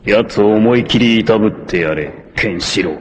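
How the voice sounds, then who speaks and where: An actor playing an arrogant, obsessive warlord villain in a 1980s anime, haughty, menacing and theatrical in delivery. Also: male voice, on the low side, normal speed, background noise, close to an everyday voice. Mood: cold menace. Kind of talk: dramatic 1980s anime voice acting.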